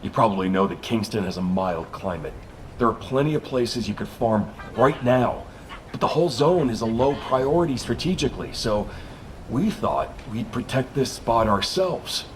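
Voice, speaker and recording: A man's voice: mid-range voice, animated, moderate pace, lightly acted. A man playing a sincere, idealistic soldier in a video game, speaking earnestly. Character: idealistic, sincere male soldier guarding farmland. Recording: faint ambient noise behind. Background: faint ambience.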